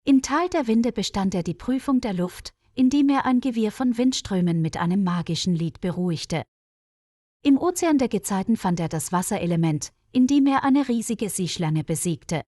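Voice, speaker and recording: A woman tells a fairy tale as a scripted narration for learners. Her voice sits at a middle pitch, recorded very dry with no room around it. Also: warm tone, no echo. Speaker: storyteller, narrator.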